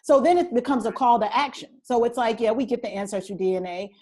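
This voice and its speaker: Higher-voiced woman co-hosting a talk. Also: fast, mellow. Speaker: co-host. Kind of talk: candid conversation.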